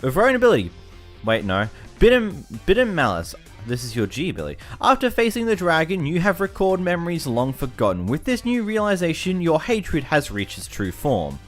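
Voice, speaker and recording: A man's voice: on the high side, big pitch swings, fairly quick, faint music behind. A young Australian man casually commenting on a video game, chatty and self-mocking, tripping over words as he reads. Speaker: gaming YouTuber.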